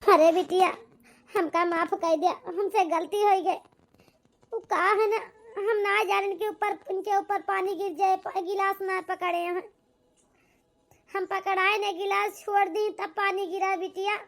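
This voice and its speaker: A woman voicing a humble, apologetic old village mother in an amateur cartoon, with an Awadhi accent, a pleading manner and a pitched-up voice. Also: fairly even intonation, measured pace, vocal fry, full character voice. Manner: pleading, repeatedly addressing her daughter tenderly. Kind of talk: amateur cartoon comedy-drama acting.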